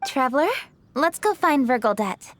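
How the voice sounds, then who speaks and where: High-voiced, poised young noblewoman. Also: lively intonation, moderate pace, a bit bright, slight background noise. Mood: brisk. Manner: composed, polite, decisive. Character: poised, businesslike young noblewoman, a city official. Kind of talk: video game voice acting.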